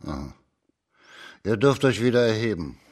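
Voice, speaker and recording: A man in a radio drama playing a shrewd judge of old China, measured, formal and courteously commanding. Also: fairly low pitch, big pitch swings, darkish tone. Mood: formal, probing.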